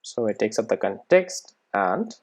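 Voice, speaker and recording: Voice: mid-range voice, sing-song, unhurried. A tutorial presenter with a mid-range voice walks through programming steps in an informal way. The delivery sounds like thinking aloud while working, with short questions tossed to the listener to check they are following. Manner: checks in with rhetorical questions and tag "right?".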